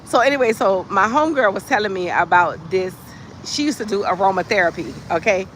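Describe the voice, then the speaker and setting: Bright, sassy American livestream storyteller. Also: medium pitch, brisk, a little hiss or hum. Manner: animated, sassy, emphatic, conversational asides. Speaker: livestream host telling stories. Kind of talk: casual chat with comic storytelling.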